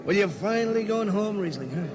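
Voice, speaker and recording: An old, very noisy radio drama has a spaceship power-room hand. His voice is high and casual, breezy and complacent. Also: animated, brisk. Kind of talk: 1950s radio drama acting.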